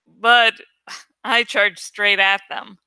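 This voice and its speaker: A woman reading aloud as an amateur audiobook host, expressive and informal in her delivery. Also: medium pitch, slow pace, clean tone, dry recording, rough recording. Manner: informal, self-correcting. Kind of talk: expressive story narration with casual asides.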